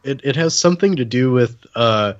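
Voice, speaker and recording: A man's voice: medium pitch, animated, moderate pace, lo-fi sound. A man chatting casually on a comedy podcast in a hesitant, mock-earnest way. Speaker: podcast panelist. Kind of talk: casual comic podcast chat.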